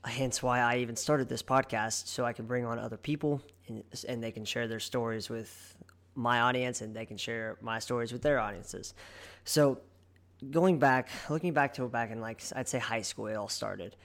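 A man's voice: mid-range voice, fairly even intonation, normal speed, a bit bright. Nasal-voiced young man hosting a podcast. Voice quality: somewhat nasal voice. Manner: chatty, earnest, with frequent ums and restarts. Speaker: podcast host and online fitness coach. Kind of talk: casual solo podcast monologue.

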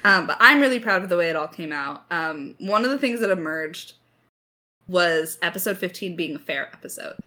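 A woman in her twenties chatting casually as a writer in a creator Q&A, candid and enthusiastic, quick to laugh. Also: lowish voice, lively intonation, pushed and bright. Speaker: audio drama writer in a creator Q&A. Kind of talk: casual chat.

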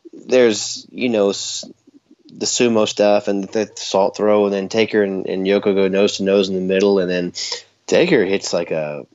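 A man's voice: fairly low pitch, darkish tone, dull audio. A man co-hosting a podcast in casual chat, rambling and joking with frequent laughs. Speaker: podcast co-host.

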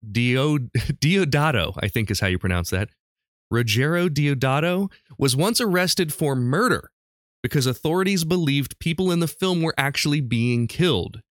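A man's voice: on the high side, no echo. Man hosting a casual quiz, dry sound. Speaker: podcast host running a quiz. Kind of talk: casual chat, reading quiz questions.

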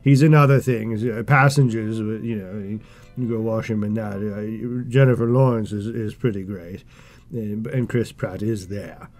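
A man voicing a pompous, self-important British royal ex-broadcaster, halting and mumbling as he rambles through a comedic podcast movie review in a British English accent. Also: fairly low pitch, fairly even intonation, a little mellow, soft background music, close to an everyday voice. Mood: pompous, rambling. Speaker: podcast host.

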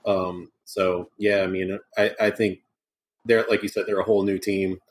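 A man's voice: sits low, level tone, moderate pace, breathy edge. A man co-hosting a casual sports podcast, rambling and hedging with lots of fillers. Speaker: podcast co-host.

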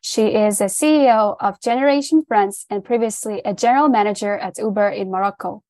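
A woman's voice: mid-range voice, a little fast, a little mellow, no echo. A woman hosting a podcast, reading guest introductions and asking questions in a polite, upbeat way. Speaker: podcast host. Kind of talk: scripted intro and interview questions.

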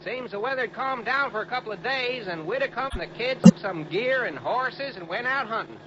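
A man's voice: very high-pitched, lively intonation, brisk, a crowd in the background. An American man playing a young, folksy, wordy insurance agent with a hesitant manner in a 1960s radio drama. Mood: folksy, explaining. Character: young, rambling male insurance agent out west. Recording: dull, noisy vintage radio recording.

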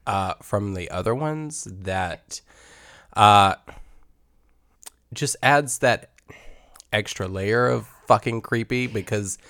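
A podcast co-host talking in a halting, informal, sweary way in casual conversation. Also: mid-range voice, a bit bright, no echo, studio quality.

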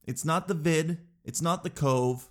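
A man's voice: fairly high pitch, a little slow. Stuffed-up, chatty man. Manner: chatty, self-mocking, says dude a lot. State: stuffed up from crying. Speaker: vlogger. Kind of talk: casual chat to camera.

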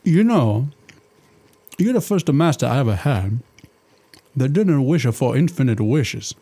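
A man's voice: mid-range voice, very animated, unhurried. A man doing a jolly Italian genie cook. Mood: jovial. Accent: put-on Italian. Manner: warm broken English, fatherly. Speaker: voice actor. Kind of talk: comedy audio drama.

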